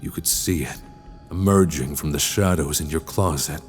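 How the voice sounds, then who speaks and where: A man playing a sinister horror-story host in an audio drama, narrating in a slow, deliberate, theatrical and menacing way. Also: extremely low pitch. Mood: ominous. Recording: long reverb, music underneath.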